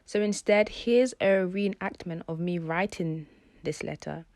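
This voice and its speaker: A woman's voice: on the low side, a little slow, darkish tone. A woman, talking reflectively as a personal vlogger. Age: early 20s. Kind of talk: personal reflective vlog talk. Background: prominent background music.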